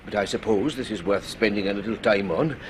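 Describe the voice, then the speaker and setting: An actor playing a thoughtful senior scientist in a radio drama, with an educated, musing manner. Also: male voice, lowish voice, a little fast, natural voice. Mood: pondering. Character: thoughtful senior male scientist running a telescope station. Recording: clear background noise, ambient scene.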